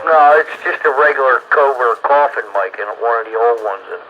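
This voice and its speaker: A man talking casually over a radio, halting and repeating words as he asks for help as a hobbyist. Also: medium pitch. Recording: muffled noisy two-way radio.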